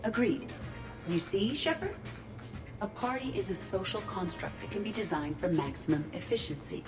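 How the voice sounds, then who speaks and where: A woman's voice: medium pitch, expressive, moderate pace, natural voice. A woman as a precise, literal-minded AI, slightly distant. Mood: pleased, matter-of-fact. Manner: precise, matter-of-fact. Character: polite, literal-minded female artificial intelligence. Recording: slightly distant, music behind.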